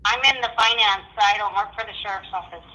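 A woman answering a county office phone, polite but hesitant, pausing often. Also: mid-range voice, fairly even intonation, sharp tone, poor audio. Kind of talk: phone conversation.

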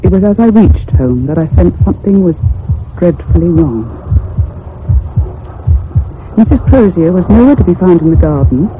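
A man playing a troubled, sensitive narrator in a radio ghost story, speaking in measured, earnest, literary phrases. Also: high voice, animated. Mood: uneasy, sorrowful. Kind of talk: dramatic radio horror narration. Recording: tinny, narrow old radio recording with hum.

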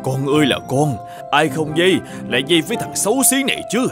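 A man's voice: a little high, big pitch swings, fast. An actor playing a stern, angry middle-aged lord and father who scolds and blusters, in fairy-tale dubbing. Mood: scolding, resolute. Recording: music behind the voice.

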